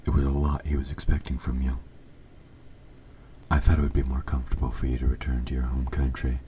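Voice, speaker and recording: Man acting a very deep, sinister courtly king. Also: monotone, dark tone. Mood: courteous, controlling. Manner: formal, courtly, measured. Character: evil king, polite, smooth-talking and quietly sinister. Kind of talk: scripted audio roleplay addressed to the listener. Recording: faint background noise.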